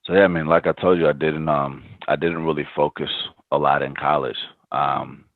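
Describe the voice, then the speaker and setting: A man's voice: extremely low pitch, fairly even intonation, normal speed, creaky, dry recording. A man in his thirties, a startup founder, answering interview questions reflectively, with many ums and restarts. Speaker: podcast guest, startup founder. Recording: dry, phone-like remote line.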